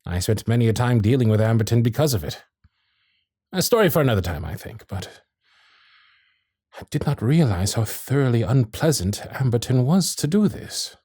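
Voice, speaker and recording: Victorian gentleman suitor, male actor, genteel and flirtatious period diction, lively intonation, intimate dry sound for romantic audio drama. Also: mid-range voice, normal speed, breathy edge, no room sound. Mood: indignant, thoughtful. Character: courtly, infatuated Victorian gentleman suitor, protective and witty. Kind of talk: ASMR romantic roleplay, period piece acting. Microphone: close studio microphone.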